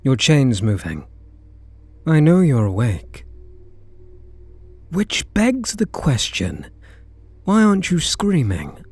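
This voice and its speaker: A British man playing a reluctant kidnapper in an intimate ASMR roleplay, wry and incredulous, speaking in short halting phrases. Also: medium pitch, huge pitch swings, breathy edge, no echo, full character voice. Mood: cool, probing. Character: reluctant male kidnapper, gruff but secretly softening. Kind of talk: ASMR roleplay acting, intimate one-sided dialogue.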